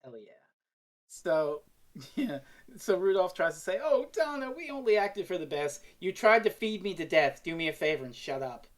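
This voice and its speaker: A woman's voice: lowish voice, big pitch swings, moderate pace. A woman co-hosting a casual review chat, theatrical and sweary, acting out quotes as she goes. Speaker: podcast co-host.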